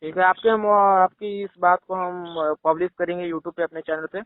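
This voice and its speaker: A narrow, dry phone line carries a man's high-pitched voice. He speaks as a reporter, putting brief questions to the other party and acknowledging the answers. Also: steady tone, normal speed, dry recording. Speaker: reporter for a news channel.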